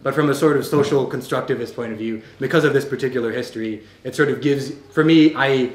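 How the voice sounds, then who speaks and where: An American man speaking on a festival panel, quick and passionate, arguing his points with frequent restarts. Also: medium pitch. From United States. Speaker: panelist, novelist. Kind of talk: animated panel talk. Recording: echoey room, faint ambience.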